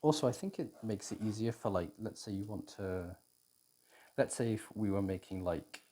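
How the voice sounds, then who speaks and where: A British man in an intimate, casual ASMR roleplay as a boyfriend cooking, talking in an unhurried, hesitant way as if thinking aloud. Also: lowish voice, warm tone. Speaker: audio roleplay performer playing a boyfriend cooking. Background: kitchen food preparation sounds.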